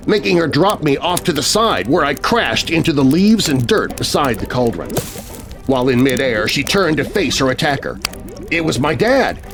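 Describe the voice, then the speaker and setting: A man narrating a scary children's story in a dramatic, scripted first-person style. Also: on the high side. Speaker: narrator of children's horror stories. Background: music bed.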